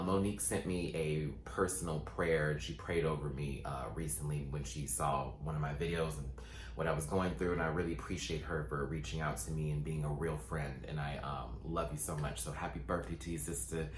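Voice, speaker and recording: A man chatting sassily through a casual recap, his voice still low and groggy from just waking up. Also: roomy sound, slight background noise. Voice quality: voice sitting lower than his normal. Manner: sassy, playful, quick asides. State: just woke up, morning voice. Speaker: vlogger and show recapper.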